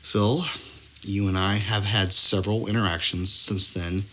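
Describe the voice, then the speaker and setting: A man giving a mock-earnest scripted announcement in a deadpan manner as a comedy performer. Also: on the low side, a little slow.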